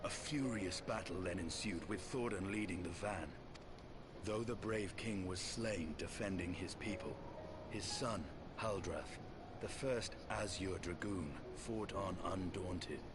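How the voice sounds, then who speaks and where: An actor playing a grave, noble knight in a fantasy video game, speaking in formal, archaic phrasing. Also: male voice, low-pitched, measured pace, very noisy. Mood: solemn storytelling. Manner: solemn, formal, archaic diction. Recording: voice under loud orchestral game music.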